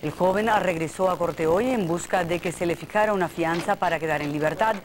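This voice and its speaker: A woman's voice: lowish voice, fast talker, noisy background. A woman anchoring a television newscast, reading scripted news on an old broadcast tape.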